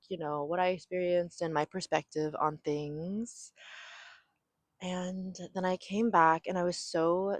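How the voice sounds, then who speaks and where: A young woman from Southern California talks through her life story in a loose, rambling way, filling gaps with "like" and "um". Her voice sits low, and the recording is dry and close, with little room sound. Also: dry recording. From raised in Southern California. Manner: rambling, filler-heavy, frequent "like" and "um". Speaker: podcast host. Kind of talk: casual solo chat. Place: home near an airport.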